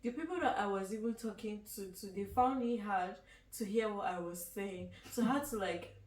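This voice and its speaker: A woman's voice: mid-range voice, normal speed. Young Nigerian woman, casual guest chat, laughs a lot, echoey room, distant mic. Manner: laughs often, chatty and animated. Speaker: guest student. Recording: echoey room from far off, faint noise.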